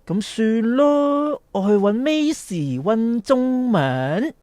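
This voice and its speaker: A woman acting a lovestruck, excitable and cheeky teenage schoolboy in a youth radio drama, with a Hong Kong accent. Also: on the high side, slow pace, no room sound. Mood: teasing, smug.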